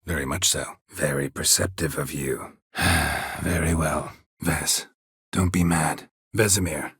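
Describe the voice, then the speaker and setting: An actor playing a gruff, weary, stoic monster hunter in a video game, a gravelly voice and a terse, deadpan delivery. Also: male voice, no echo, heavily acted. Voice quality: husky, gravelly low voice. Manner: terse, clipped, deadpan, dry. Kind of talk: video game character dialogue.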